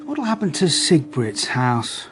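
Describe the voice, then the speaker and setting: An actor playing a reserved, methodical and quietly humane police detective in a radio drama, speaking in a terse, understated way. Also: male voice, on the high side, wildly swooping pitch, slow, slight background noise, full character voice. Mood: tired, relieved.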